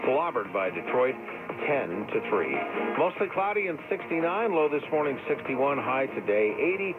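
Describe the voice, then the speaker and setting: A male local news anchor reads scripted headlines and forecasts in a mid-range voice. Loud music runs beneath him, and the whole thing sounds thin, like AM radio. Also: medium pitch, sing-song, moderate pace. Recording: tinny AM radio. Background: loud music bed.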